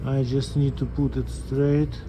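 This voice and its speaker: A man giving an unscripted step-by-step equipment tutorial as an instructor, speaking plainly and haltingly in short phrases. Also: mid-range voice, little pitch movement, warm tone, slight background noise. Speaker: instructor demonstrating equipment calibration.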